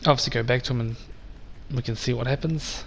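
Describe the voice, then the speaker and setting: A man with a slightly croaky voice hosting a let's play, chatting casually and addressing viewers directly. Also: mid-range voice, fast. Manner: relaxed and chatty, talks straight to viewers. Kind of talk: casual gameplay commentary. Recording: voice over game sound noise.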